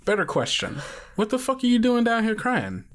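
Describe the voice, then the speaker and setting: A man voicing a gruff, protective, streetwise father who swears freely and goads in slang in a tabletop roleplay. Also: high-pitched, wildly swooping pitch, moderate pace, a bit bright, pitched above the performer's own voice, heavily acted. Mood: gruff teasing. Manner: profane, slangy, goading, emphatic.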